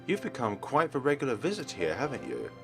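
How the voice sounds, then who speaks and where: A man's voice: medium pitch, huge pitch swings, fairly quick, creaky, music in the background, voice pushed up. A man voicing a polite, smug supernatural master of ceremonies with a theatrical manner in a visual novel. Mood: teasing.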